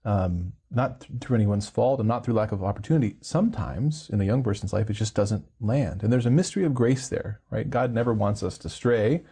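Low husky male interview host. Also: expressive, brisk, hardly any pauses, warm tone. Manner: reflective, many fillers and tag questions. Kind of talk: conversational interview.

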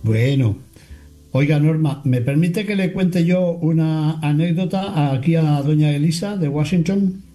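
Sacerdote jovial de voz cálida. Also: male voice, a little high, a little slow, warm tone, faint music behind. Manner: jovial, chuckles at his own anecdotes. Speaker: priest and radio host. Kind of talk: relaxed storytelling on a call-in radio show.

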